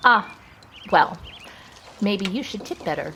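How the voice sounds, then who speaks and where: An actress playing a brisk, commanding section chief of spies in a comedy audio drama. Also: female voice, mid-range voice, expressive, bright, forceful tone, a little hiss or hum, exaggerated voice. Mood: authoritative.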